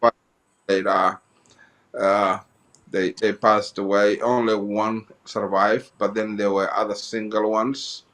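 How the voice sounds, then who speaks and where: A man from Somalia, a guest in an interview telling his life story, often halting and repeating phrases as he goes. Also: fairly low pitch, level tone, fairly bright tone.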